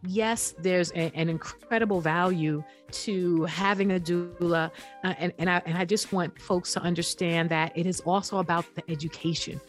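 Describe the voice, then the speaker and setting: A woman's voice: fairly low pitch, steady tone, moderate pace, soft background music. A woman founder speaking as an interview guest in an earnest, emphatic way, often checking in with "right?". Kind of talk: conversational interview.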